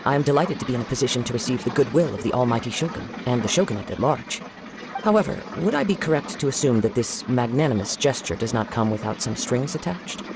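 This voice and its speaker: A man playing a reserved young samurai wanderer in a video game, speaking formally and reflectively over loud noise. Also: medium pitch, lively intonation, fast talker, lightly acted. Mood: gracious, wary. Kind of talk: video game character acting.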